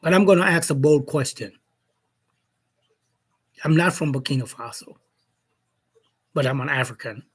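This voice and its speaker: A man from Jamaica speaking as a commentator in an opinion monologue, slow and deliberate, posing challenging questions. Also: on the high side, slightly rough.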